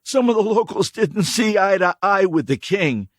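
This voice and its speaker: A man's voice: high-pitched, lively intonation, moderate pace, fairly bright tone, dry recording, clean studio sound, voice pushed up. A man voicing a greasy, scared drifter who stammers and whines defensively. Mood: nervous pleading.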